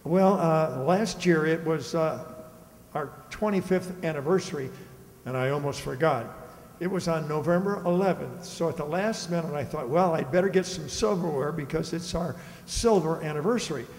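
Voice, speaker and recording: A man's voice: fairly high pitch, normal speed, a little mellow, close to an everyday voice. A man playing a matter-of-fact middle-aged husband as a contestant on an old-time radio quiz show. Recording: very echoey hall.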